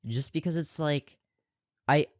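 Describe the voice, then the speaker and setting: A man's voice: on the high side, measured pace, no echo, clean studio sound. A man co-hosting a podcast in casual chat, rambling with lots of fillers and restarts and projecting his voice. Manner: projecting voice on camera. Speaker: podcast co-host.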